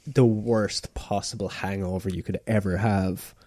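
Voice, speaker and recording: A man talks in a low, dark, mellow voice, circling his points with plenty of fillers and false starts. The recording is dry and close, with no room sound behind him. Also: fairly even intonation, unhurried, no room sound. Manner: hesitant, explaining with many fillers and restarts. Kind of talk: casual chat.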